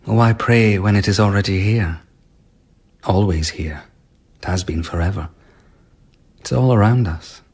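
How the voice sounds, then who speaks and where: An eccentric court mystic in a radio play. The voice is lowish and husky, with very slow, weighty phrasing. He declaims with solemn, earnest conviction. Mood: reverent, earnest. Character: eccentric Japanese court performer turned earnest spiritual mystic. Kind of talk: radio drama acting.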